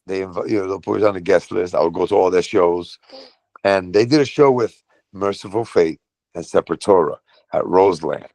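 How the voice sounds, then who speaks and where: A middle-aged man from Brooklyn with a New York accent, telling profane, animated stories as a guest on a podcast. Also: sits low, moderate pace, dry recording. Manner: profane, animated storytelling with voiced quotes. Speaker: guest, metal vocalist. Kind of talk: casual storytelling.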